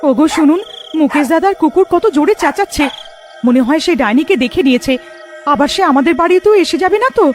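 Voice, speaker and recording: A woman's voice: sits high, expressive, fast, faint music behind, voice pushed up. A woman voicing an anxious young village wife in a cartoon, pleading and fretful. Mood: fearful. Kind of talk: cartoon voice acting.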